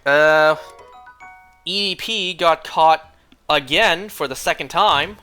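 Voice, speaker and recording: A young man streaming a game, chatting casually and rambling, clearing his throat often as he is losing his voice. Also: a little high, takes it slowly, bright, forceful tone, soft background music.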